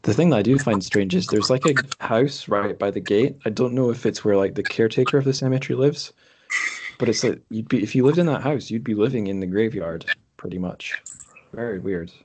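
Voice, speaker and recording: British guy hosting a podcast, lowish voice, just chatting, kinda tentative and thinking out loud. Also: a little fast, background noise. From Britain. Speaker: podcast host. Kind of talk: casual group chat.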